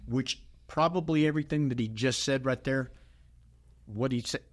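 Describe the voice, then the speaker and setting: Animated middle-aged pastor telling a story. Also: male voice, mid-range voice, normal speed. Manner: animated storyteller, emphatic and exasperated. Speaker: pastor, podcast co-host. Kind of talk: casual chat.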